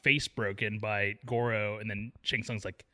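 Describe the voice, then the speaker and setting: A man's voice: on the low side, level tone, a little slow, pushed and bright. A man co-hosting a film podcast in casual, jokey, opinionated conversation. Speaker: podcast co-host.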